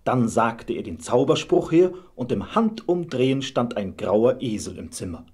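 A man's voice: mid-range voice, very animated, normal speed, darkish tone, husky. A man narrating a fairy tale as a storyteller, reading from a script.

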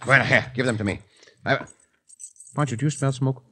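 A man's voice: mid-range voice, a little fast, sharp tone, lightly acted. A man playing a confident caballero hero in a 1950s radio drama. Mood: calm, scheming. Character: dashing male Mexican caballero hero, confident. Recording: noisy old radio broadcast.

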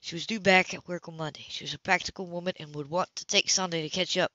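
A woman's voice: lowish voice, fairly even intonation, fast, pushed and bright, slight background noise. A woman narrating fiction as an amateur audiobook reader. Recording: dull lo-fi home recording.

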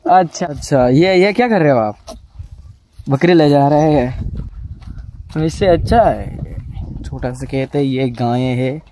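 A man's voice: mid-range voice, a little slow, pauses often, dark tone. Young man, farm vlog, lapel mic, outdoors, friendly chatter, light background noise. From Rajasthan, India. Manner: chatty, friendly, questioning a child. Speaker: vlogger. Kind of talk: casual village vlog.

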